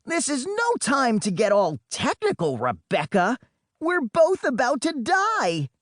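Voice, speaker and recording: A man performs an excitable boy adventurer for a storybook, his pitch leaping far above normal talk. The kid hollers melodramatically, with big swoops, in a dry recording. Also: unhurried, no room sound, full character voice. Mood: panicked, melodramatic. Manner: shouting, melodramatic. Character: excitable twelve-ish boy, dramatic adventurous twin.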